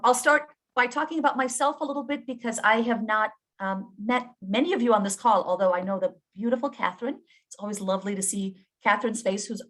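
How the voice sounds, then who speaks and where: A woman from Jordan, a professor and guest speaker, giving a presentation on a video call in a warm, effusive way with frequent ums. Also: medium pitch.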